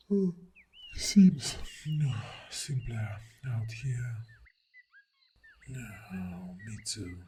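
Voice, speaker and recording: A man playing a clingy, tender boyfriend in an ASMR roleplay, speaking softly and intimately, often in a breathy whisper with lingering murmurs. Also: mid-range voice, background noise. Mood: sleepy, affectionate. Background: birdsong and outdoor ambience.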